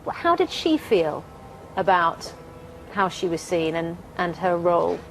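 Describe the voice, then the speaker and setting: A woman's voice: lowish voice, sing-song, unhurried, soft background music, poor audio. A woman asking questions in a conversational interview, rephrasing them as she goes.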